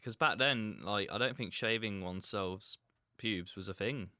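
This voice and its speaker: Man, low-pitched, bright forceful tone, wry, casual podcast co-host. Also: dry recording, studio quality. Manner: wry, quick with jokes. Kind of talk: casual chat.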